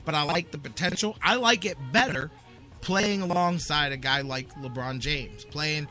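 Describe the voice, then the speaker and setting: A man's voice: on the high side, normal speed, sharp tone, soft background music. A man hosting a sports talk radio show, giving opinions in an emphatic, insistent way and repeating his points.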